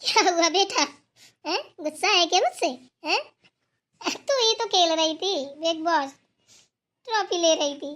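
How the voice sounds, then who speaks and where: A high, brusque voice put on by a woman for an old village grandmother in a cartoon. She grumbles and scolds bluntly, with fondness underneath. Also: expressive, measured pace, pauses often, fairly bright tone, full character voice. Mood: teasing. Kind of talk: Hindi village family cartoon acting.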